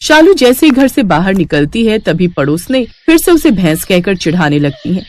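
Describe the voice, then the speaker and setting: A woman's voice: medium pitch, very animated, moderate pace. A woman narrating a scripted cartoon family story.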